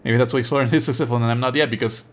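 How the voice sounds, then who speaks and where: A man giving live let's play commentary and reading game text aloud, exasperated and sarcastic, with animated outbursts and frequent swearing. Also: medium pitch, racing. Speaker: streamer playing and commenting on a visual novel.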